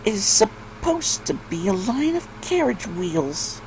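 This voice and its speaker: Man putting on a whiny high-pitched little filly. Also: sing-song, takes it slowly, noisy background, heavily acted. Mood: indignant, boastful. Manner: whiny, speaks of self in third person. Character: whiny, proud little filly, indignant and childish.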